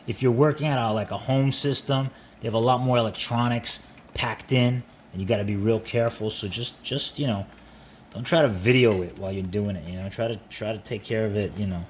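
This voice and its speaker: A man's voice: fairly low pitch, a little fast. A man narrating a hands-on how-to in an informal way, repeating himself as he talks the viewer through each step. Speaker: repair tutorial host. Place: indoors at a workbench. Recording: steady background noise.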